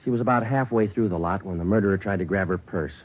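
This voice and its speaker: An actor playing a calm, relentless police sergeant interrogating a suspect in a 1940s radio crime drama. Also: male voice, low-pitched, fast. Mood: steady. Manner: clipped, matter-of-fact questioning. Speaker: police detective. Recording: dull lo-fi old radio broadcast.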